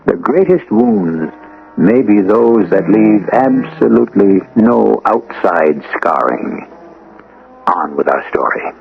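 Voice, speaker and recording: A man hosting a radio mystery, narrating from a script in a wry, deliberate, theatrical way. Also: on the low side, animated, creaky, narrow, tinny audio. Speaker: host and narrator. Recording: band-limited old radio broadcast, music behind.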